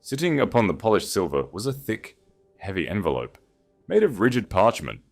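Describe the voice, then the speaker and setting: A man's voice: lowish voice, very animated, brisk, vocal fry, soft background music. A man narrating a period romance story in a dramatic, scripted style. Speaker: audiobook narrator.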